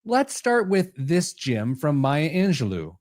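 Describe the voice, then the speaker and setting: Male podcast host, scripted monologue, lively melodic intonation, wry, joking, conversational. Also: fairly high pitch, slow. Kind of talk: scripted personal finance monologue.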